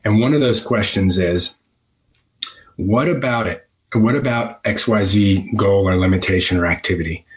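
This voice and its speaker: A man's voice: fairly low pitch, moderate pace, rough recording. A man giving a conversational instructional talk as a business coach, emphatically repeating his key phrases. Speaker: business coach for physical therapists.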